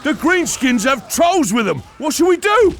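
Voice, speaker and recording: Dutiful battle herald, fantasy game, urgent, high pitch, wide swoops. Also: male voice, very animated, moderate pace, full character voice. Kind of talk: heroic fantasy game acting. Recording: music behind the voice.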